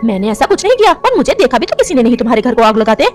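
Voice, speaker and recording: A high-voiced mother crow, acted by a woman, vengeful and fiercely protective. She talks fast in melodramatic cartoon style, over soft music. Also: big pitch swings. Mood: feigning, defensive. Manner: sharp, defensive, doting toward her child. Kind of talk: melodramatic Hindi cartoon story acting.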